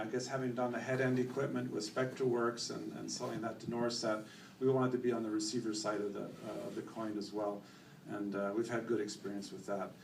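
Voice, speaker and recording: A middle-aged Canadian man giving a company presentation at a conference, relaxed and full of fillers. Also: medium pitch, gravelly. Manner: relaxed, many fillers, self-corrects. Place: conference hall. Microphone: room mic, later handheld. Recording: echoey hall from far off, faint noise.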